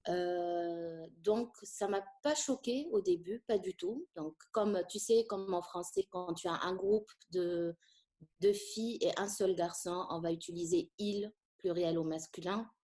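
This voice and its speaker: Middle-aged woman teacher, Tunisian-born, Arabic native; warm low voice, reflective and hesitant. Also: unhurried. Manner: thoughtful, hesitant with frequent fillers. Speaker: French teacher. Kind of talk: conversational interview.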